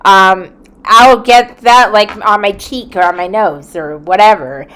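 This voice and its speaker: A woman in her forties speaks to her channel in an earnest, reflective tone. Her pitch sits in a comfortable middle range, and now and then she pauses or restarts. She is in a quiet room at home. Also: clear, resonant tone. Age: 40s. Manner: earnest and reflective, with small hesitations. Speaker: content creator. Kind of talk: reflective vlog talk.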